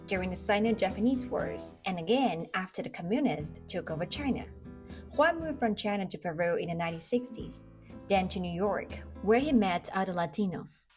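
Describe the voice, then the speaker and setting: A young woman narrates a food history piece in a clear, steady, scripted manner. Her pitch is mid-range, and faint background music plays beneath her voice. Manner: clear, even storytelling with brief mm-hmm cues. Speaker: food video host. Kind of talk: scripted documentary narration and interviewing.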